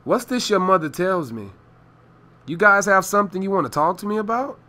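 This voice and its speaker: A man's voice: sits high, expressive, fairly quick, sharp tone. A man playing a breezy, boastful young father in a holiday radio play, with a jovial, showy way of talking. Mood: amused. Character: breezy, boastful young dad hosting Christmas Eve. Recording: music behind the voice.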